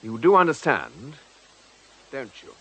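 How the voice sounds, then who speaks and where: An actor playing a shrewd, urbane police inspector with a wry, authoritative manner in a radio drama. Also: male voice, mid-range voice, big pitch swings, takes it slowly, sharp tone, full character voice. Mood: stern, pressing. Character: shrewd, urbane, commanding British police inspector, drily ironic.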